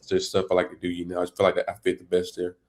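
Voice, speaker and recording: A teenage boy from Congo talks in a very low, nearly monotone voice. He rattles along quickly, looping through fillers like "you know" and "and all that" as he answers. His delivery is plain and loose, not polished. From Democratic Republic of the Congo. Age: teens. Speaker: high school football recruit. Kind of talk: casual interview answers.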